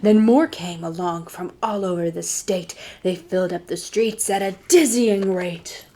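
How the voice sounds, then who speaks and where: Expressive woman reading a children's story. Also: on the low side, unhurried, a little hiss or hum.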